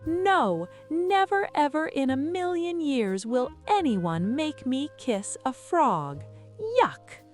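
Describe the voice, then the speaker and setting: A squeamish, strong-willed little storybook girl, played by a woman, protesting emphatically. The voice is high and lively, with music underneath. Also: takes it slowly. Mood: disgusted. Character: stubborn, squeamish little girl refusing playfully.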